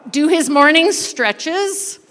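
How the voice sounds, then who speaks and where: A woman leading a live dramatic reading as a church storyteller, with playful, emphatic phrasing and pauses. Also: high-pitched, lively intonation, a bit bright. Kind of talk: animated storytelling to a live audience, with asides to participants. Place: large indoor church room. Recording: reverberant hall, live event sound.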